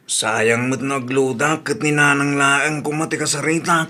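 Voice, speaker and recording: A man's voice: medium pitch, little pitch movement, moderate pace, sharp tone, lightly acted. A man with an Ilocano Filipino accent playing a casual, insistent young family man in a radio drama, conversational and emphatic.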